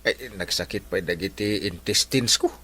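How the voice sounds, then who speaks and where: A man's voice: fairly low pitch, normal speed, sharp tone, slight background noise. A man playing a lazy village captain in an Ilocano comedy, whining and stammering excuses with an Ilocano accent. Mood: whining, evasive. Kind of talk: broad comedy drama acting.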